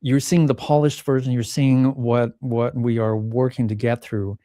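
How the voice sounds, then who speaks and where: Mellow-voiced man, reflective and candid. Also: medium pitch, flat delivery, moderate pace, no room sound, studio quality. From Czech Republic. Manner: thoughtful, many restarts, acts out remembered quotes. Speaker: pianist and podcast guest. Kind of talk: reflective interview talk.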